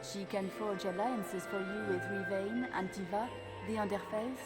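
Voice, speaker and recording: A woman's voice: medium pitch, steady tone, normal speed, natural voice. An actress voicing a gracious, formal female noble courtier in a video game, with a polished, courtly delivery. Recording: game dialogue over loud music.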